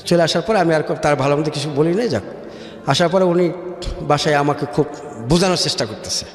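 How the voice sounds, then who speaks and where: A man with a Bangladeshi accent telling his personal story as a radio interview guest, haltingly and often repeating words. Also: a little high. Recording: echoey hall-like reverb with music. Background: music.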